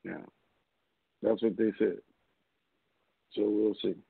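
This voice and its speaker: A man's voice: fairly low pitch, little pitch movement, slow, vocal fry, husky, dry recording. A man hosting a casual question-and-answer conference call, talking in a blunt, offhand, informal way over a phone line.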